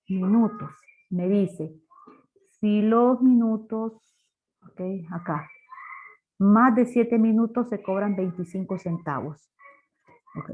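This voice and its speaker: Lady teacher walking thru code step by step, asks questions, muffled lo-fi recording. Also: mid-range voice. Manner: didactic, asks rhetorical questions. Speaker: programming teacher. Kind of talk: live class explanation, step by step. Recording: dull lo-fi online class audio.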